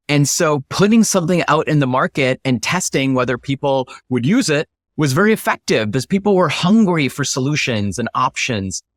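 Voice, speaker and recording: A man's voice: medium pitch, no room sound, studio quality. A man speaking as an interview guest, explaining his ideas in an emphatic, instructive way. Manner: emphatic, instructive, illustrates points with acted-out example questions. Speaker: interview guest, startup founder.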